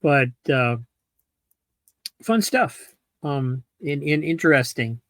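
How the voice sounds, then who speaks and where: A man's voice: on the high side, lively intonation, slow pace, fairly bright tone, no echo. A man hosting with casual commentary, rambling and hesitant as he goes. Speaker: host.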